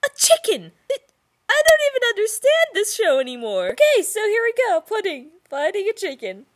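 Woman voicing melodramatic young woman, high voice, lively swings, tongue-in-cheek, cartoon parody. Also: moderate pace, full character voice. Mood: incredulous. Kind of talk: anime parody fan dub.

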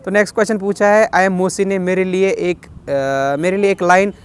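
Kashmiri guy in his 20s, slightly high voice, casual q&a vlog, chatty, throws in some english. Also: steady tone, normal speed, clear, resonant tone. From Kashmir, India. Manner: chatty, reads questions aloud then answers, slips English phrases in. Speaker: vlogger answering viewer questions. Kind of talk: casual question-and-answer vlog. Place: outdoors on a rooftop.

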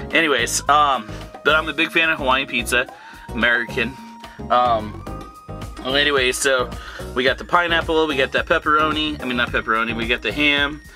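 A man in his thirties from southern California, talking casually as a travel vlogger, rambling with lots of fillers, filmed at arm's length. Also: mid-range voice. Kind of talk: casual vlog narration. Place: outdoors, hotel room and parked van. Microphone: handheld camera at arm's length.

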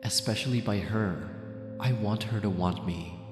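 A male actor plays a lovesick, possessive young man tormented by his breakup in an ASMR roleplay. His low voice broods and questions itself anxiously. It rings with long reverb over background music. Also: normal speed, close to an everyday voice. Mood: desperate, longing. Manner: brooding, agonized, self-questioning. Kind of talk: ASMR roleplay, dramatic inner monologue.

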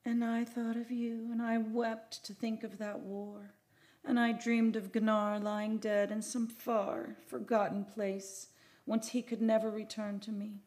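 A woman acting a sorrowful ghostly lover in an old-time radio drama. She speaks slowly in a highish, mellow, level voice, with music behind her. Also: level tone, natural voice. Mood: mournful. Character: Dolores, mournful ghostly woman waiting centuries for her lover.

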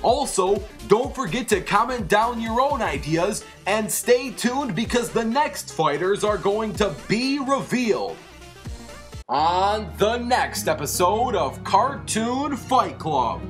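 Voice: medium pitch, sing-song, takes it slowly. A video host reading scripted commentary and addressing viewers directly. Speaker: host of an animated versus show. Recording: music under the voice. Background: background music.

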